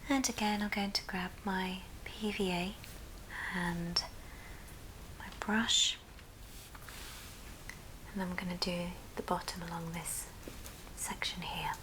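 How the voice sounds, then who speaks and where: A woman whispering a gentle, breathy, step-by-step ASMR craft tutorial. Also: lowish voice, fairly even intonation. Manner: slow, gentle step-by-step instruction. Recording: noisy background, handling clicks.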